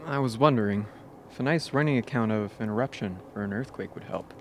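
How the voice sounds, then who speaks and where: Radio drama crewman, male actor: blunt, wry, breezy, on the low side, faint background noise. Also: fairly quick, close to an everyday voice. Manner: sardonic, breezy. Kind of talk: radio play acting.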